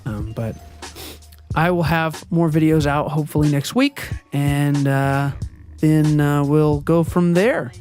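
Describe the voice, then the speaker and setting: A man with a high, youthful-sounding voice talks through channel updates in a rambling, hesitant way, full of ums and self-corrections. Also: slow pace, a little mellow, soft background music. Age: adult. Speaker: channel owner and video editor. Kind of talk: casual channel update monologue. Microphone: voiceover recorded before the gameplay footage.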